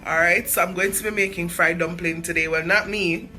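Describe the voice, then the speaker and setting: A young Jamaican-accented woman chats through a home cooking vlog in a somewhat low voice. She pokes fun at herself and drifts into patois, with music clearly playing behind her. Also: steady tone, fairly quick. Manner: chatty, self-deprecating, slips into patois. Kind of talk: casual cooking vlog chat.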